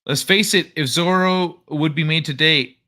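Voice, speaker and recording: A man's voice: on the high side, sharp tone. A man hosting a casual livestream and chatting loosely with viewers, joking and laughing often. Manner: loose and jokey, with frequent laughter and quick asides. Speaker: livestream host.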